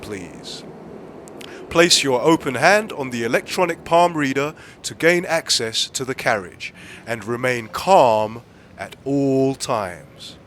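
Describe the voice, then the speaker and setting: A man playing a calm, officious, impersonal railway announcer in a radio play, speaking in a measured way. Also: medium pitch, slight background noise.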